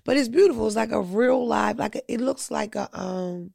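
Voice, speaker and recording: A woman's voice: fairly high pitch, normal speed, gravelly, a little mellow, no room sound. A woman telling blunt, crude stories in a casual podcast chat, close to the mic.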